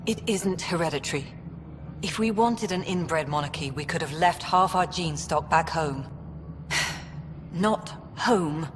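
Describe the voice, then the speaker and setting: An actress playing a weary, irritable colony official in a video game, with a curt, sardonic, exasperated manner. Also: female voice, low-pitched, unhurried. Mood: exasperated, bitter. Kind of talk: video game dialogue acting. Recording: long echoing reverb, noisy ambience.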